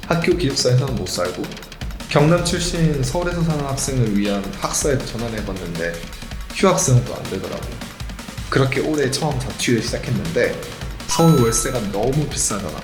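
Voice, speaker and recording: Give me a young man narrating a casual vlog over music, chatty and informal. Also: medium pitch. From South Gyeongsang, Korea. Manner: chatty, informal, animated intonation. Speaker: host and narrator. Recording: echoey with music behind.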